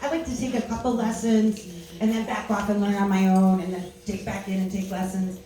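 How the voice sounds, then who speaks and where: A woman's voice: mid-range voice, little pitch movement, brisk, dark tone. A woman speaks openly to a room at a benefit about learning music on her own. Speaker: guest speaker. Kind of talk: personal story at a benefit. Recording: echoey room from far off.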